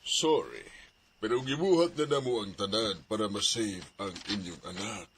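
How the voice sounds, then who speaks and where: A man's voice: lowish voice, lively intonation, moderate pace, fairly bright tone, a little hiss or hum. A man playing a subdued hospital doctor. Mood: somber. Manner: subdued, apologetic.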